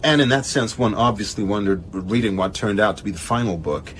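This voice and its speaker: A man's voice: fairly low pitch, brisk, lightly acted. Guy playing a pedantic evasive gent, careful and hesitant, radio play, slight background noise. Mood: musing, aloof. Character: measured, pedantic, evasive establishment gentleman. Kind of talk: radio drama dialogue.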